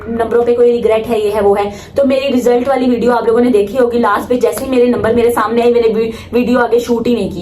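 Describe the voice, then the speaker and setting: A young Pakistani woman in her late teens speaks in a high voice, in the casual style of a student vlogger. She is emphatic and opinionated and drops English phrases into her talk. A faint noise sits behind her voice. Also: dark tone, roomy sound, poor audio. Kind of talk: casual vlog talk to viewers.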